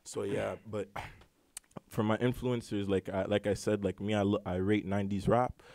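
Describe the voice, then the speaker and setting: A young man from Canada, a rapper, talking casually in an interview, relaxed and rambling with lots of slang and filler. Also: on the low side, normal speed, vocal fry, dry recording. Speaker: rapper guest.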